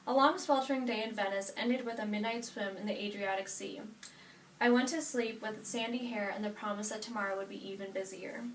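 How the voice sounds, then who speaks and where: A woman's voice: medium pitch, steady tone, fairly quick. A young American woman, about eighteen, giving a prepared personal narrative speech from notes. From United States, Nebraska. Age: 18, young adult. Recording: echoey room, distant mic, faint noise.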